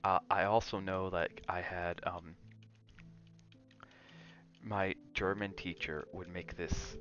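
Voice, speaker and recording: A man's voice: low-pitched, little pitch movement, slow pace, a bit bright, lo-fi sound, soft background music. A man chatting casually on an ASMR gaming livestream, rambling and drifting between chat and game reactions. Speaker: game streamer.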